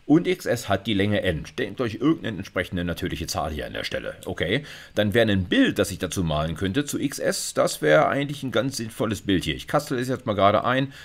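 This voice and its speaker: Male university lecturer, middle-aged; lowish voice, very lively intonation, chatty explanatory delivery. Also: fairly quick, vocal fry. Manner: conversational, frequent check-in tags like "okay" and "ja". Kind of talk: informal explanatory lecture over slides.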